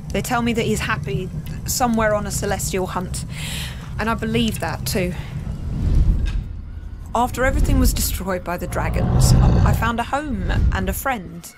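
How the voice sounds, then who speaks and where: A woman's voice: medium pitch, natural voice. A woman playing a tender, ageing adventurer reading out a letter home in a plain, informal, wistful way. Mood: wistful, sombre. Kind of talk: in-character letter reading, tabletop roleplay. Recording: clear background noise.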